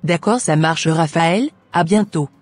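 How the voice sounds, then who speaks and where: A man's voice: on the high side, a little slow, no room sound, faint music behind. A man voicing a troubled young adult daughter in scripted cartoon dialogue, earnest and questioning. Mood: grateful.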